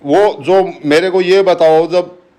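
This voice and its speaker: A man's voice: high voice, steady tone, slow pace, a little mellow. A man arguing insistently yet respectfully in a recorded phone call, repeating his questions. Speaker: local supporter on a phone call. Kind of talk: argumentative phone conversation.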